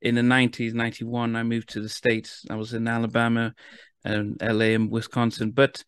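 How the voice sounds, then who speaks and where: A man from the UK hosting an interview, talking in a halting way with lots of restarts. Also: mid-range voice, monotone, clear, resonant tone, no room sound, clean studio sound. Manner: halting, restarts often, laughs easily. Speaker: interview host. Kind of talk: casual interview chat.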